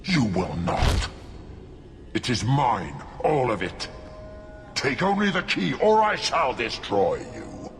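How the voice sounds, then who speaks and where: An actor playing a menacing, possessive demon in a video game, speaking slowly and imperiously with threat. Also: male voice, medium pitch, big pitch swings. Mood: possessive menace. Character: menacing, possessive ancient demon bargaining for treasure. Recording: long reverb with music underneath.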